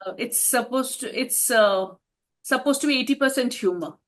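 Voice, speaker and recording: A woman's voice: on the high side, normal speed. A woman, an author answering interview questions in a reflective way and laughing often. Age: 50s.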